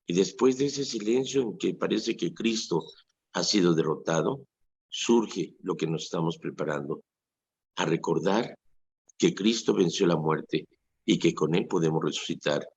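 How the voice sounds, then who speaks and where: Mexican priest, 60s, deep and husky, earnest radio preacher; dull lo-fi broadcast sound. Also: male voice, unhurried, a little mellow. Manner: earnest preaching with vivid quoted asides.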